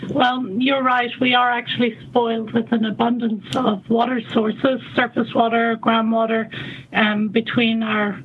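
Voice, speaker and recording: A woman in her fifties with an Irish accent, a guest explaining her work in a measured way with frequent ums. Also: fairly high pitch, level tone. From Ireland. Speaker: guest, water conservation coordinator. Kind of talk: remote interview conversation. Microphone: phone call. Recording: tinny phone line, faint noise.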